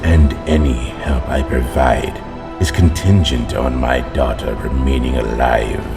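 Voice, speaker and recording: An actor playing a cold, calculating villainous power broker in an audio drama, speaking in a measured, formal way. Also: male voice, rumbling low, steady tone, creaky, over loud music, exaggerated voice. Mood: cold, detached.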